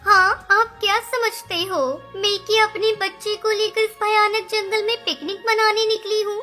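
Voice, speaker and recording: A woman's voice: very high-pitched, little pitch movement, moderate pace, bright, forceful tone. A woman voicing a clever, bold little mother bird in a children's cartoon story, emphatic and scolding. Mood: bold, insistent. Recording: music behind the voice.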